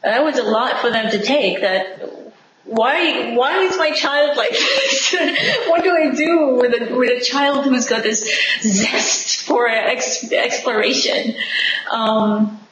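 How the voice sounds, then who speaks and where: A young woman from Singapore talking as an interview guest over a video call from her living room, enthusiastic and expressive. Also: fairly high pitch, slow. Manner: enthusiastic, expressive, laughs often. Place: home living room, indoors. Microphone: video call webcam. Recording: echoey room, faint background noise.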